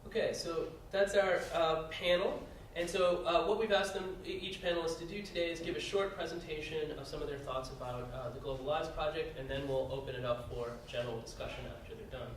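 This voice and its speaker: A man moderates a conference panel and reads each speaker's introduction aloud, often pausing on "uh". His voice sits a little high. It reaches the mic from a distance in a very reverberant room with faint background noise. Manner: reads bios with frequent "uh" fillers. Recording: very echoey room, heard from a distance.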